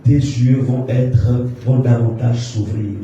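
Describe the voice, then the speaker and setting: A man's voice: mid-range voice, steady tone, slow pace, dull audio, background noise. A man in his fifties preaching a sermon, leading the congregation in call-and-response with emphatic repetitions. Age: 50s. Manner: emphatic, repeats phrases for the congregation to echo. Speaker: pastor. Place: church hall. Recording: echoey church hall, distant mic.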